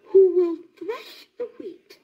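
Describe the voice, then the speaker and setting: A plucky, hard-working mother hen from a children's storybook, acted by a man, calling out high above a normal speaking voice. The delivery is slow and singsong, cheery and determined, in a dull recording with music behind it. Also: heavily acted. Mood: hopeful calling.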